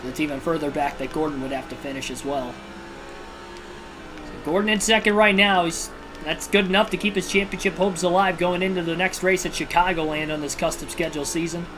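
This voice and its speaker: A man hosting a livestream and giving realistic play-by-play commentary on a racing video game, in a steady broadcaster style with casual personal asides. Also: a little high, fairly quick. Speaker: livestream host and race commentator. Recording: video game music clearly behind voice.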